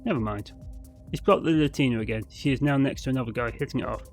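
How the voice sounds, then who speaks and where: A man's voice: mid-range voice, fast, soft background music. A man reading a visual novel aloud as a narrator, in a dramatic storytelling style with the odd stumble over words. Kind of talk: scripted narration read aloud.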